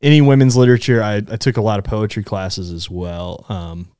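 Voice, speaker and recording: Homme à la voix basse et lisse. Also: male voice, fairly quick, darkish tone, clean tone, no echo, clean studio sound. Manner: rambling, self-deprecating, laughs while talking. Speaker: podcast co-host. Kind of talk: casual book chat.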